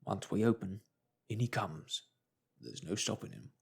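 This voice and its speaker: A man's voice: on the low side, unhurried, creaky, breathy edge, heavily acted. A man voicing a cautious, anxious village policeman who is worried about doing things properly. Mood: alarmed.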